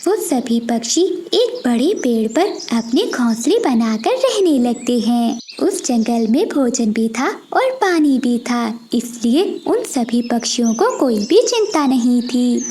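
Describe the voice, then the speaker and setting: A woman reading scripted narration for a children's animated story. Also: on the high side, slight background noise.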